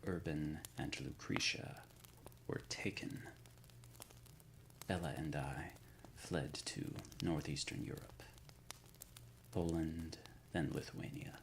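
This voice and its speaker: A man playing an ancient, solemn undead leader of a secret order in an ASMR roleplay, speaking in a breathy, gravelly whisper with formal, archaic diction. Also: rumbling low, fairly even intonation, slow, long pauses, slight background noise, full character voice, poor audio. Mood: grave recollection.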